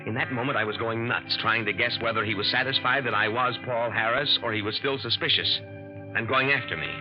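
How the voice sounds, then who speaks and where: A man's voice: mid-range voice, a little fast, close to an everyday voice. A man playing a clever, nervous young impostor who narrates in a tense, confiding, hard-boiled way. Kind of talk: first-person radio drama narration. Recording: muffled 1950s radio drama with music.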